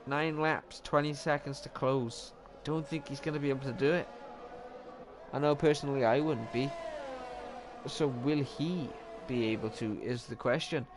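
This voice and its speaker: Young Welsh male race commentator, a touch high-pitched, casual and self-correcting, steady noise behind. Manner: chatty, self-mocking, corrects himself often. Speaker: sim racing league commentator.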